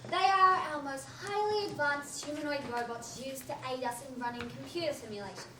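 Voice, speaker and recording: A woman's voice: high voice, brisk, natural voice. A woman playing an earnest, self-important lab technician who explains things in technical terms in a comic play. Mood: explaining. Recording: echoey noisy room from far off.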